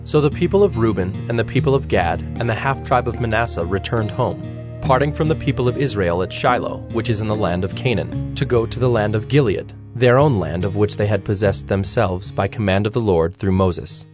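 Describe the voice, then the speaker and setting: Low-voiced man narrating scripture over music. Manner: measured, formal. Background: instrumental music with plucked strings.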